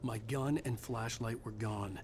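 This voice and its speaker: A man's voice: lowish voice, steady tone, unhurried, noisy background, close to an everyday voice. A man playing a brooding, weary writer who narrates his thriller ordeal in a video game, grave and introspective. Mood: grim. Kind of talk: scripted first-person game narration. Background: background music.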